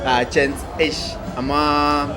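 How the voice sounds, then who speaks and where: Laughing young South African man, slightly high voice. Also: slow pace. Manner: animated, laughing, code-switching, argues his point. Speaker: podcast co-host. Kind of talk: casual podcast banter.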